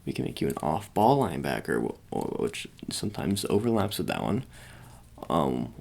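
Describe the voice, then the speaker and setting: Man, deep register, crackly vocal fry, ASMR personal-attention roleplay, halting improvised delivery, playful slang. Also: darkish tone. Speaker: ASMR performer roleplaying a sports agent.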